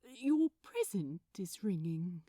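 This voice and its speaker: A woman voicing a bright, polite, gentle male gift-wrapper with a courteous, prim manner in a story reading. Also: on the low side, sing-song, takes it slowly, no room sound, clean studio sound, heavily acted. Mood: politely puzzled.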